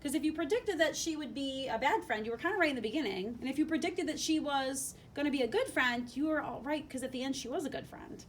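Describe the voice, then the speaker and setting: A female teacher with a fairly high voice leads a lesson for young children. She asks questions expressively and sounds a little away from the mic, over faint noise. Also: animated, quick pace, few pauses. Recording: slightly distant mic, faint rumbling noise.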